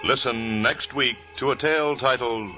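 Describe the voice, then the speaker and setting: A man's voice: medium pitch, moderate pace, sharp tone. A man announcing formally from a script in 1940s radio style. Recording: dull old radio recording with music.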